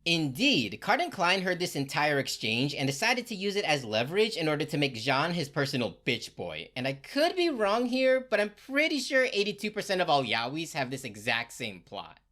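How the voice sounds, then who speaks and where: A woman with a low-pitched voice narrates a comedy recap in a casual, slangy style. Her intonation swings widely, and she delivers it all with sarcasm and swearing. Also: big pitch swings, normal speed, hardly any pauses, a bit bright.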